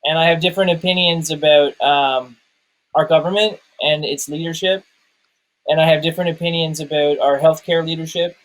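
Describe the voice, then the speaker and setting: A man's voice: on the high side, fairly even intonation, fairly quick, a bit bright, rough recording. A man chatting casually and personally, often repeating words as he searches for the right phrasing. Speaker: podcast guest reviewer, documentary maker.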